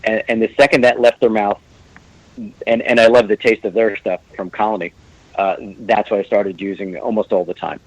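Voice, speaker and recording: A man with a lowish, plain voice, recorded close and dry with no room sound. He talks casually as a guest, jokes around and restarts his sentences often. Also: steady tone, normal speed, no echo, poor audio. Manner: joking, rambling with many restarts. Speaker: meadery owner, guest. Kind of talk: casual podcast chat.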